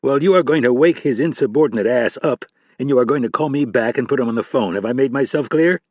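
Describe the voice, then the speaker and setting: A man's voice: medium pitch, quick pace, a little mellow, slightly rough, no echo, muffled recording, lightly acted. A man voicing a stern, intimidating male FBI executive who barks orders at a junior agent. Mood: stern, threatening. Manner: barking, intimidating.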